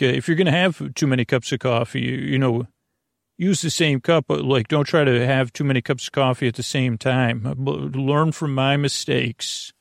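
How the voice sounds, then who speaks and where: A man hosting a bedtime podcast in a creaky, lulling voice, meandering hesitantly through tangents. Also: medium pitch, no echo, studio quality. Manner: hesitant, digressive, trailing off into tangents; lulling, soothing. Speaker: host of a bedtime sleep podcast. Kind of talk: rambling, meandering bedtime monologue.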